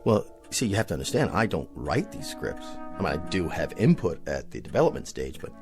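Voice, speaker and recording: An actor playing a timid TV Western star in an audio drama, speaking with an American accent in a hesitant, placating way. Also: male voice, low voice, big pitch swings, a little fast, a little mellow. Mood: nervous, placating. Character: timid, evasive actor who plays a TV Western marshal. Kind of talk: comic radio drama acting. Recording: music under the dialogue.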